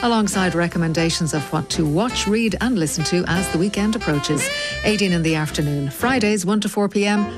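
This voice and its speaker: A woman reading a scripted station promo in a smooth, polished way. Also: fairly low pitch, fairly quick, over music. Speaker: station announcer.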